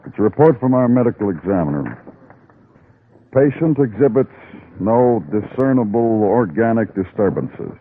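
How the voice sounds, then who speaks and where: An actor playing a terse, probing police detective in a 1950s radio drama, questioning in a clipped, understated way. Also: male voice, lowish voice, animated, moderate pace. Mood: quietly pressing. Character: terse, probing male police detective, patient but hard. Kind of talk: 1950s noir radio acting. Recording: noisy, narrow-band old radio recording.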